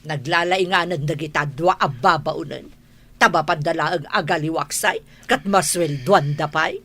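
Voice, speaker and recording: Woman playing a haughty suspicious mom, sharp forceful voice, radio drama. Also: low voice, a little slow. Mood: annoyed. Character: stern, suspicious, haughty mother disdainful of housemaids. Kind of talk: Ilocano radio drama acting.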